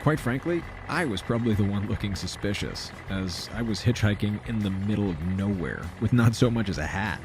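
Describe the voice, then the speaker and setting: An actor playing an uneasy, wry traveler, narrating a science fiction audio drama in a deliberate, self-mocking storytelling manner. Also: male voice, on the low side, animated, music in the background. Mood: wry, reflective. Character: uneasy, wry male traveler narrating a simulation-hopping adventure. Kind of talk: scripted first-person audio drama narration.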